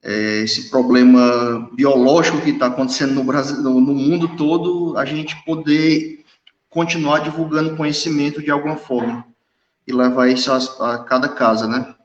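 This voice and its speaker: A man's voice: mid-range voice, fairly even intonation, moderate pace. Hesitant Brazilian man in an echoey room. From Ceará, northeast Brazil. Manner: hesitant, repeats words. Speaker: guest expert. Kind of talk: live online interview. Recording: echoey room, dull lo-fi sound.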